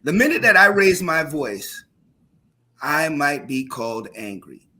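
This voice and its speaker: Man, teacher, panel discussion, loud voice, impassioned, forceful, bright tone. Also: fairly high pitch, very animated, unhurried. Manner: impassioned, rising to emphatic questions.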